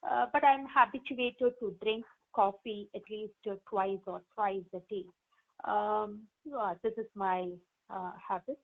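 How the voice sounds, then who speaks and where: A woman's voice: medium pitch, steady tone, unhurried, lightly acted. A woman role-playing an independent 88-year-old patient in an exam practice, speaking hesitantly with many fillers. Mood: chatty. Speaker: role-play partner. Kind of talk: exam role-play over the phone. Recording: tinny phone line.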